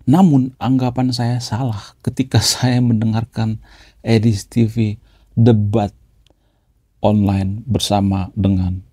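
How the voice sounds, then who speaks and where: A man's voice: on the low side, little pitch movement, slow, warm tone, slightly rough, no echo, studio quality. A man hosting his own channel, talking through a topic casually and tagging "ya" onto nearly every phrase. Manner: chatty, adds "ya" after nearly every phrase. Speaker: YouTube channel host. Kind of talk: casual commentary.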